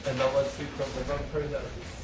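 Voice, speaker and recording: A man's voice: medium pitch, monotone, quick pace. A man speaking as a fan, reading stage directions aloud or chatting casually. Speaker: fan table reader and commentator. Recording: echoey, far from mic, noisy room.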